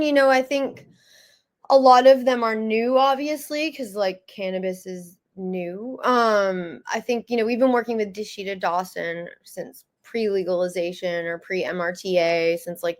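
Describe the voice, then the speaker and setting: A shy-sounding woman from the United States talks through her answers as an interview guest, pausing often and restarting her sentences. Her voice sits at an ordinary middle pitch, and her delivery is loose and conversational. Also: fairly even intonation. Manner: hesitant, many fillers and restarts; self-described shy. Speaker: magazine founder and publisher, guest.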